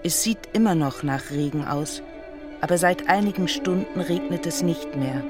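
A woman narrating a literary radio drama in a solemn, measured and dramatic manner. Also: on the low side, over music.

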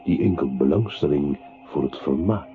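An actor playing a narrating voice in a literary radio play that recounts a composer's decline into silence. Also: male voice, rumbling low, moderate pace, faint music behind. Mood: ironic. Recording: muffled, noisy old radio recording.

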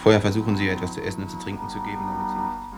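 A matter-of-fact hospital doctor in an old radio play. His voice is low with a gravelly creak. He is sober and businesslike. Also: level tone, very fast, faint music behind. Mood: brisk, businesslike. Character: businesslike male hospital doctor giving instructions. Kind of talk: 1950s German radio drama acting. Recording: 1950s radio drama recording.

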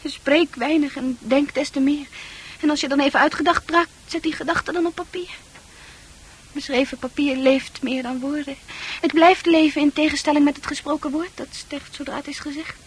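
An actress playing a dreamy, sensitive, troubled young woman in a Dutch radio play, confiding in earnest, halting, poetic speech. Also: sits high, steady tone, slight background noise, close to an everyday voice. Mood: pensive, intense.

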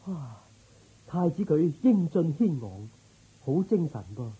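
An actor playing a dutiful, inquisitive military officer in a radio drama, with a musing way of talking. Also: male voice, on the high side, big pitch swings, slow, mellow, husky, heavily acted, poor audio. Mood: wistful admiration. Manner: musing, questioning.

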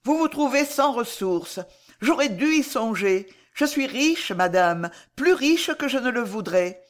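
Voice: medium pitch, lively intonation, a little slow, pitched above the performer's own voice, lightly acted. A woman as a tormented, pleading lady spy. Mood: urgent offering. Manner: strained, faltering, imploring formal speech. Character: tormented guilty female spy, humble, pleading.

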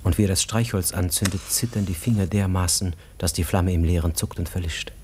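Male narrator for literary radio drama: low voice, measured storytelling, some background noise. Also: steady tone, a little mellow. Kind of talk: literary radio play narration, present tense.

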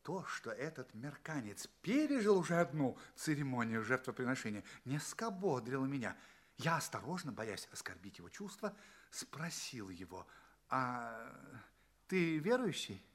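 A man's voice: mid-range voice, sing-song, moderate pace. An actor playing an anxious, bewildered space traveller in an audio play, politely asking questions and telling his tale theatrically. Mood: cautious.

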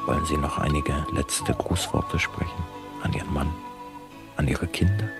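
An actor playing a slick, callous studio show host with a showy manner in a dystopian satirical radio play. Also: male voice, rumbling low, normal speed. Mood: coolly solicitous. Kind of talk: satirical science fiction radio play acting. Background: music.

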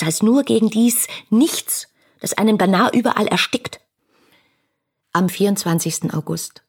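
Husky, low-voiced romantic young woman. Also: a little mellow. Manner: lyrical, intimate, fervent, at times whispery. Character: passionate, dreamy young romantic woman writing letters. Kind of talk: German radio play, literary letter reading.

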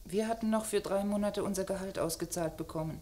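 A woman's voice: medium pitch, fast talker, dark tone, natural voice. An actress playing a wistful, loyal, guarded unmarried woman over forty in a mid-century radio crime drama, speaking with restraint. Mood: defensive, earnest. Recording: noisy old radio drama recording.

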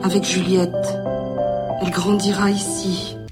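A woman's voice: lowish voice, flat delivery, unhurried, lightly acted. An actress playing a kindly rural French woman in a film. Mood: warm, assured. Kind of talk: film acting. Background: loud music.

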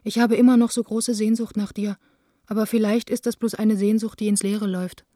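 Radio drama heroine, played by a woman: young adult, mid-pitched mellow voice, intimate and earnest, dry studio. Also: little pitch movement, brisk, no room sound, natural voice. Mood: sad, reproachful. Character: lovelorn, reproachful young woman, theatre director. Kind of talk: radio play letter reading.

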